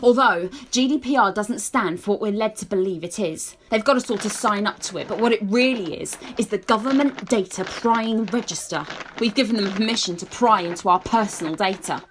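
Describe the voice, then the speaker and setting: Eccentric hippie woman played by an actress, mid-pitched, dreamy and rambling, dead earnest conspiracy believer, radio play. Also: fairly quick, slight background noise, close to an everyday voice. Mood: eager. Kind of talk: amateur radio play acting.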